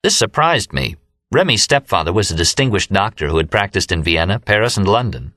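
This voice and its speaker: Deep, bright-edged American male narrator. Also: lively intonation, fairly quick, no room sound, clean studio sound. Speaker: audiobook narrator. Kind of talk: scripted literary narration of a first-person novel. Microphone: close studio microphone, dry.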